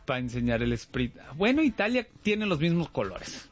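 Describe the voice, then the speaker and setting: A man in casual chat, playful and jokey, quick to laugh. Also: fairly high pitch, very animated, muffled recording, soft background music.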